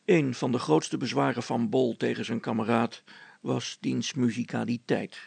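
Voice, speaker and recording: A man's voice: mid-range voice, steady tone, normal speed, vocal fry, darkish tone, husky, dry recording. A man reading a scripted story as its narrator.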